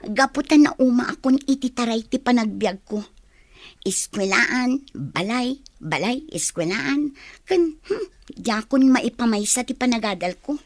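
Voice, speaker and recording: A woman's voice: a little high, expressive, unhurried, dry recording. A woman playing a headstrong, resentful young student in an Ilocano radio drama, speaking with defiance. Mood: weary, resolved. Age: young adult.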